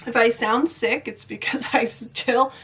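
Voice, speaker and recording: A woman's voice: on the high side, steady tone, unhurried, a bit bright, breathy edge. A young adult woman with a stuffy, congested voice, chatting casually and rambling through her thoughts in a diet vlog. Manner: chatty, rambling, self-questioning. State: congested from a cold. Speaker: vlogger.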